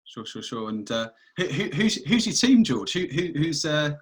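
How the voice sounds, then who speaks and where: A man hosting a podcast, asking halting questions and affirming with repeated "sure, sure". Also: fairly high pitch, sing-song, breathy edge. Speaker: podcast host. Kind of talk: interviewing.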